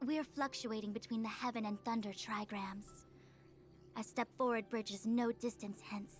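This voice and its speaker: A woman's voice: a little high, fairly even intonation, soft background music, natural voice. Woman voicing a stern weary seer. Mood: exasperated. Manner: measured, formal, dryly exasperated. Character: calm stern female seer, formal and weary. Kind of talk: game cutscene voice acting.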